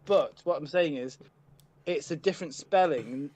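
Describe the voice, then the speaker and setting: A man's voice: on the high side, moderate pace, darkish tone, dull audio. A man chatting eagerly on a group podcast, often stammering and restarting his sentences. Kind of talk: casual group chat.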